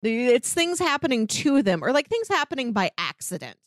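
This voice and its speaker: A woman's voice: on the high side, very animated, normal speed, no room sound, clean studio sound. A woman co-hosting a podcast, chatting casually about comic books and retelling scenes informally with paraphrased dialogue. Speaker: podcast co-host. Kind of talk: casual comic-book discussion.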